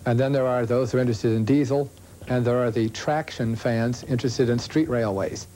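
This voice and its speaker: Mellow American man explaining his specialty. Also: medium pitch, fairly even intonation, brisk, a little hiss or hum. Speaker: bookstore owner being interviewed. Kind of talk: explanatory interview talk.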